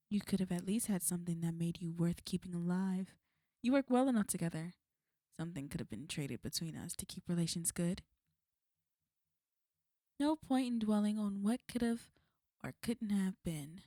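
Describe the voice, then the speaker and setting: A woman playing a smug, menacing villain in an ASMR roleplay, taunting and threatening in a cool, unhurried way. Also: medium pitch, dark tone, dry recording, studio quality, natural voice. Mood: mocking, smug. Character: smug, menacing female villain, fond of an enemy.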